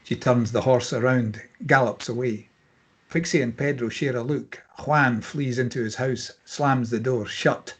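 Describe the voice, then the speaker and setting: Husky man reading stage directions. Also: medium pitch, fairly even intonation, a little slow, a bit bright. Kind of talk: scripted narration at a screenplay table read.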